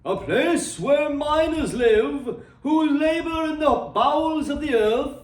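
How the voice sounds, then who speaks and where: A man voicing a jovial male Christmas spirit in a storybook reading, speaking in a slow, lifted, proclaiming way. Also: very high voice, lively intonation, reverberant, recorded from a distance, pitched above the performer's own voice, full character voice.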